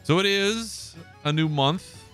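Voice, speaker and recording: A man's voice: sits high, slow, a bit bright, clean tone, soft background music. A man hosting a podcast alone, talking in a laid-back, casual way and swearing now and then. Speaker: podcast host. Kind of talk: solo casual commentary.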